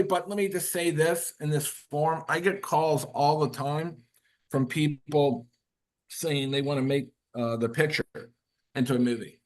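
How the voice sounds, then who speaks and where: Rambling man, casual webinar talk. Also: fairly high pitch. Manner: rambling, frequent fillers and restarts. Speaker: guest author.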